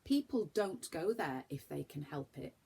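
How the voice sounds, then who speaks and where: Woman as storybook father, kindly, tired, advising, measured, husky. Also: low-pitched, big pitch swings, darkish tone, exaggerated voice. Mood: cautioning. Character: tired, kindly, cautioning father of young children.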